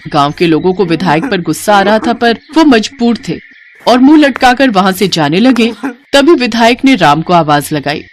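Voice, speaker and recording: A woman narrator tells a scripted village fable. Her delivery is animated, with lively swings in intonation at a medium pitch. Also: normal speed, slight background noise. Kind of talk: scripted moral-story narration.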